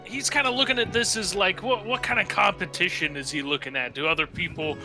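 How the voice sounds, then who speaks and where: A man playing a tabletop game, casually describing his character's actions in a halting, thinking-aloud way. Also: high voice. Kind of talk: casual improvised role-play chat. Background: background music.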